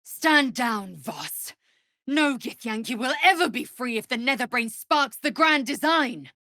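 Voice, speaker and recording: An actress playing a fierce, proud young female alien warrior in a video game, with a husky, raspy voice and a clipped, haughty, formal manner. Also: on the high side, lively intonation, a little slow, bright, forceful tone, full character voice. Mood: commanding. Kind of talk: video game character voice acting.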